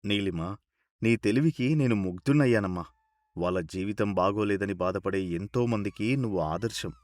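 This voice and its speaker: A kindly, encouraging boss from a storybook, voiced by a man. He talks in a lowered, measured, approving voice. The sound is dry and close. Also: a little mellow, no echo, natural voice.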